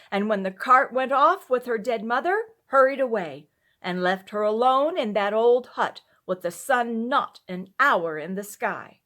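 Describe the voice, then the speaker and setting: A kind-hearted village wheelwright from a classic storybook, voiced by a woman reader. He is earnest and resolute, gentle in feeling but firm when he makes his case. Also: fairly high pitch. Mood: earnest, indignant. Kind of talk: storybook character voice.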